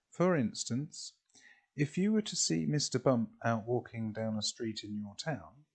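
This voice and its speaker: A man reading a children's storybook expressively, in a deliberate way with drawn-out phrases. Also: medium pitch, darkish tone, breathy edge.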